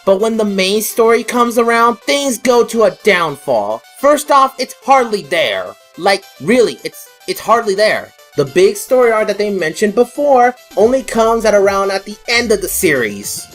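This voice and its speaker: An informal, opinionated reviewer reads a scripted review. The pitch sits in a middle range, and music plays behind the narration. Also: big pitch swings, moderate pace, hardly any pauses. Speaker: video reviewer and host.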